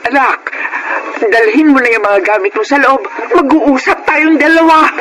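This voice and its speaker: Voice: high voice, steady tone, moderate pace, noisy background, natural voice. An actor playing an anxious, disapproving but loving middle-aged parent in a Filipino radio drama, emotional and scolding. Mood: upset, scolding.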